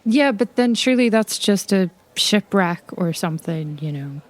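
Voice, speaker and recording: A sceptical, probing investigator played by a woman in a roleplay audio drama. The voice is medium in pitch, dry and pointed in its questioning. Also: expressive, moderate pace, lightly acted.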